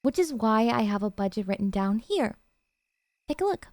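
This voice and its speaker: A woman acts out a possessive young yandere girlfriend for an ASMR roleplay. Her voice sits fairly high and is cutesy and coy, with hesitant asides that turn needy. The sound is very dry and intimate. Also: sing-song, moderate pace, a little mellow, no echo. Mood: sweet pleading.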